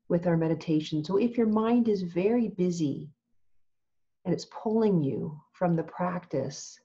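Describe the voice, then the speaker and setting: A woman teaching meditation in a calm, gentle, measured way, leaving long pauses as she gives instructions. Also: medium pitch, lo-fi sound.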